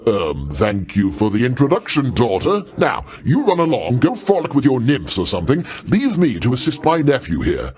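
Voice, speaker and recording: A man's voice: medium pitch, wildly swooping pitch, normal speed, few pauses, a little mellow. A male actor playing a pompous, jovial older king of the gods in a video game, grandiose and avuncular with genteel, old-fashioned phrasing. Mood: teasing, dismissive. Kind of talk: theatrical video game character acting.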